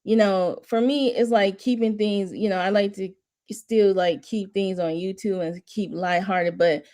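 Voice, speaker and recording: A woman hosting a watch party in casual chat, rambling and restarting, her voice mostly monotone but jumping high at times. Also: measured pace. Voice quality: says her voice runs monotone then jumps high. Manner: rambling, restarting often, laughing between thoughts. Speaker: host of a watch party stream.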